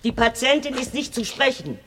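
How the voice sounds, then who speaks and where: A woman's voice: sits high, expressive, normal speed, sharp tone. A woman playing an elderly, resolute hospital nurse in a German radio crime play, stern, curt and bossy. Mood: brisk, stern. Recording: old radio play, faint noise.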